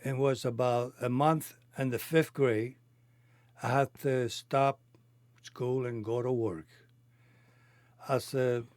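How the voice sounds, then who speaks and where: Slow-talking elderly Cuban man. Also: medium pitch, a little mellow, no echo. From Cuba. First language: Spanish. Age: 80s. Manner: halting, non-native phrasing. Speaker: interviewee telling his life story. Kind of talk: reminiscing conversation.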